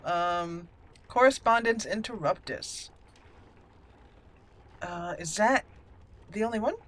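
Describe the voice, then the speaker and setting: A woman giving playful, expressive let's play commentary, chatting and thinking aloud as she explores a game. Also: fairly high pitch. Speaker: gaming video host.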